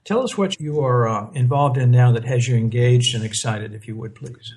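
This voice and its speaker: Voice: medium pitch, normal speed, darkish tone. An interview host who asks formal, polite questions and encourages the guest with frequent affirmations.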